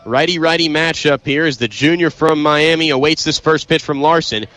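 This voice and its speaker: A young American man calling live baseball play-by-play, emphatic and excitable on big plays. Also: a little high, moderate pace. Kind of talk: live sports commentary.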